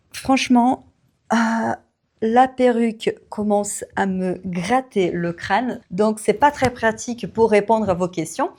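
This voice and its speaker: A woman who teaches French and hosts her own channel, answering viewer questions in a relaxed Q&A, with many fillers and small laughs. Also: mid-range voice. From Brazil. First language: Portuguese. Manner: slow, warm, relaxed, with frequent fillers and little laughs. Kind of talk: casual Q&A to camera.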